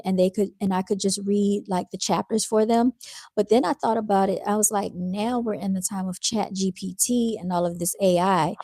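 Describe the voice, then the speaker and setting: Soft-spoken woman with a dark, soothing voice. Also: mid-range voice, dry recording. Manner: calm and unhurried, with fillers and restarts. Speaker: live-stream host reading viewer comments. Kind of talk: casual spoken monologue.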